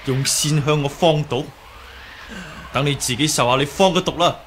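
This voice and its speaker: An actor with a Hong Kong Cantonese accent playing a righteous, composed young martial-arts hero in a 1980s radio drama, speaking deliberately and accusingly. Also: male voice, on the high side, a bit bright, lightly acted. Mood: measured, exposing. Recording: faint hiss on old radio recording.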